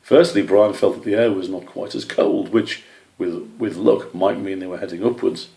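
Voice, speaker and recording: Male story reader: low-pitched, creaky voice, scripted storybook narration. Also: fairly even intonation, normal speed, warm tone.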